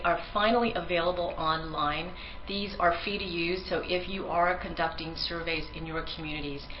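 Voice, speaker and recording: Woman presenter, Tagalog native, low voice, earnest, lots of ums, dull lo-fi recording. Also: slight background noise. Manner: earnest, many ums and restarts. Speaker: survey researcher presenting. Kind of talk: conference presentation.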